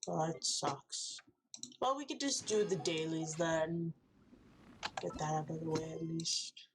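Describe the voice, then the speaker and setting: A woman's voice: sits low, takes it slowly, noisy background. A woman streaming a video game, chatting casually to her viewers in a rambling running commentary full of jokey asides. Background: faint game music.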